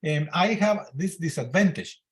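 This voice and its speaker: An older man around eighty with a slightly high voice speaks in non-native English with loose grammar. He comments as a senior surgeon in an informal clinical discussion. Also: expressive, unhurried. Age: 70s to 80. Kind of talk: informal medical conference discussion.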